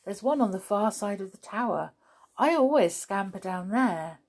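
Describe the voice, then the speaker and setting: A woman's voice: medium pitch, lively intonation, moderate pace, darkish tone. Woman doing a meek little servant mouse. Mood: polite.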